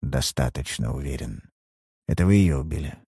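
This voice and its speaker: A man voicing a blunt, cool-headed private detective in a low, quiet voice. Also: animated, moderate pace, creaky, husky, no echo, clean studio sound, heavily acted. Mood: cold.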